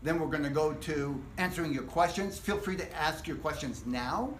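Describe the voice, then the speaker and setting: A man teaching a casual live guitar workshop, explaining things step by step in a relaxed, rambling way. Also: fairly high pitch, moderate pace, slight background noise. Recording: echoey room.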